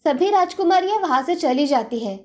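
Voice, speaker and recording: A woman's voice: sits high, lively intonation, moderate pace. A woman storyteller giving scripted narration of a children's fairy tale. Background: faint background music.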